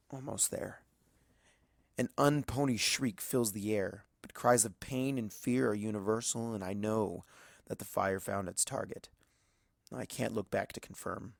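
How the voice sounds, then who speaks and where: A man's voice: fairly low pitch, creaky, no echo, clean studio sound. A man reading a story aloud as a dramatic first-person narration.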